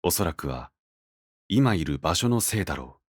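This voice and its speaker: A man's voice: low voice, big pitch swings, normal speed, husky, no echo, studio quality, exaggerated voice. A man playing a brooding, serious character who narrates his inner monologue in a fantasy audio drama with restraint. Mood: somber. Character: grave, brooding male narrator in a fantasy tale. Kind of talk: scripted first-person narration.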